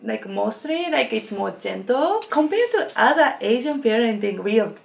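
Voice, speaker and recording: A woman in her thirties from Japan, speaking English with a Japanese accent in a gentle, casual chat. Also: a little high, sing-song, moderate pace, gravelly. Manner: gentle, speaks with many "like" fillers. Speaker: family vlogger, co-host.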